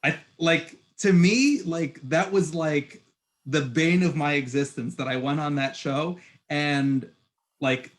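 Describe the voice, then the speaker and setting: Higher-pitched man telling stories casually. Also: slow pace. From born in Egypt, raised in Puerto Rico, Queens and Long Island. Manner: animated storytelling with frequent restarts and "like". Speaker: podcast host. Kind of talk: casual conversation.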